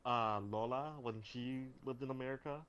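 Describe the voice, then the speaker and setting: A young man hosting a casual podcast chat, asking questions in halting restarts. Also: medium pitch, fairly even intonation, a bit bright, clean tone. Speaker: podcast host.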